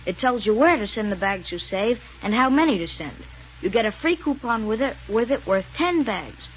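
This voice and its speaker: A performer playing a chipper boy mascot pitching prizes to children in a 1930s radio advertisement. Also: very animated, normal speed, natural voice. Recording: noisy 1930s radio recording.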